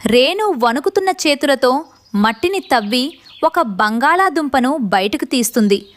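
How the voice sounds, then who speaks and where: Very high-pitched woman storyteller. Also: lively intonation, unhurried. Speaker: narrator. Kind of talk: scripted children's story narration.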